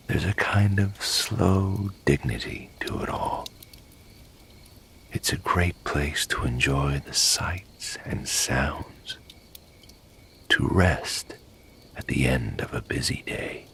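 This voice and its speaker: A man narrating a guided sleep meditation in a hushed, breathy, gravelly voice, soothing and unhurried like a bedtime storyteller. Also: lively intonation. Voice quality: breathy, whispery, gravelly low voice.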